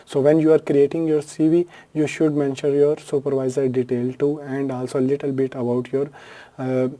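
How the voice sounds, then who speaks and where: A man's voice: mid-range voice, flat delivery, normal speed, mellow. A man from Pakistan whose first language is Urdu, talking through a screen-share tutorial in a loose, unscripted way with frequent fillers and self-corrections. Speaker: tutorial host.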